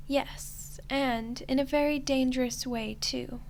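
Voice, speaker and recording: A woman playing a smug, teasing archdemon in a roleplay ASMR style, soft and condescending, with an unhurried delivery. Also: high voice, fairly even intonation, creaky, a little hiss or hum, full character voice. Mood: coolly accusing. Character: smug, teasing female archdemon toying with a human ruler.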